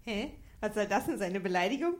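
A woman's voice: mid-range voice, expressive, a little fast, lightly acted. A woman playing a haughty, taunting witch in a comedic audio play. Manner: taunting, theatrical. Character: mocking, haughty witch who casts spells.

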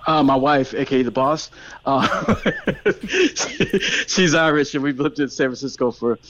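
American guy, mid pitch, easygoing and laughing a lot, dry but slightly dull sound, radio guest. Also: huge pitch swings, normal speed, fairly bright tone, no echo. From United States. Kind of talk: casual radio interview.

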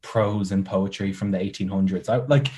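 A young man with an Irish accent and a somewhat low voice talks casually as a podcast guest. He is dry and sarcastic, and he often makes fun of himself. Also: moderate pace, dark tone. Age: young adult. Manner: sarcastic, joking, self-mocking. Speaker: guest, content creator.

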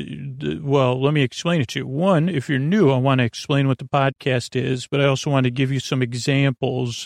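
Creaky-voiced man rambling on a sleep podcast. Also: medium pitch, dry recording, clean studio sound. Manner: halting, tangential, repeats and trails off mid-thought. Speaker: podcast host.

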